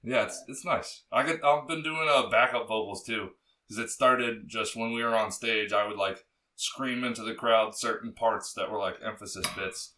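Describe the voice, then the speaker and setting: A young man with a low, bassy voice, chatting as a podcast guest in a loose, rambling way, full of fillers and swearing. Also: fairly even intonation, moderate pace, bright, forceful tone, distant mic. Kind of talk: casual interview chat.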